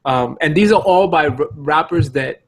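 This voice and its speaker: A man chatting casually as a podcast co-host, excitable and often repeating his phrases. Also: fairly high pitch, normal speed, husky. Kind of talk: casual comedic group chat.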